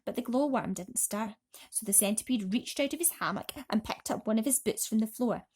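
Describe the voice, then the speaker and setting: A woman narrating a bedtime story for children as an expressive storyteller. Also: a little high, brisk, slightly rough.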